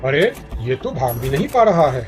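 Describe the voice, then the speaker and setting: A man's voice: mid-range voice, very animated, moderate pace, natural voice. A man voicing a scheming, hungry crocodile villain in a children's cartoon, in a dull recording with music. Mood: gloating. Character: scheming, hungry male crocodile villain, scornful of other animals.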